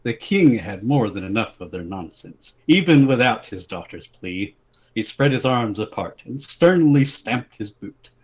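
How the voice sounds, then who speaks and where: A man's voice: on the low side, lively intonation, measured pace, breathy edge. A man giving a dramatic reading of a comic fantasy story as its narrator. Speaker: story reader.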